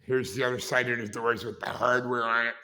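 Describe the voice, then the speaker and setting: A man hosting a casual vlog with a raspy voice, talking in a halting, rambling way and correcting himself as he goes. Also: medium pitch, little pitch movement, sharp tone. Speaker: vlog host. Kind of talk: casual walking-tour vlog. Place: indoors in a historic building.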